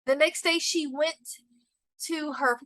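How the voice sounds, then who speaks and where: Slow, high-pitched woman storyteller. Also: a bit bright, dry recording. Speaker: narrator reading a short story. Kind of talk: expressive storybook reading for students.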